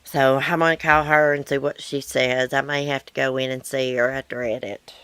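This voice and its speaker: A woman's voice: very deep voice, level tone, fairly quick, a bit bright, clear, resonant tone, dry recording. A woman hosting a casual live chat from her porch, reading viewer comments in a blunt, rambling way, sounding wheezy. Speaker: livestream host. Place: outdoor porch.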